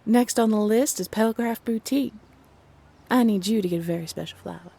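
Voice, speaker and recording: A woman's voice: medium pitch, a little fast, creaky, mellow, breathy edge. A woman voicing a smug, teasing female guide who toys with humans in a romantic roleplay audio drama, in a sly manner.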